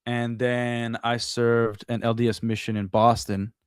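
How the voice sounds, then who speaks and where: A man's voice: mid-range voice, flat delivery, unhurried, clear, resonant tone, dry recording. Easygoing chatty man, dry sound. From Brazil. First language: Portuguese. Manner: open, chatty, laughs easily, trails off and restarts. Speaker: guest. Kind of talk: casual chat.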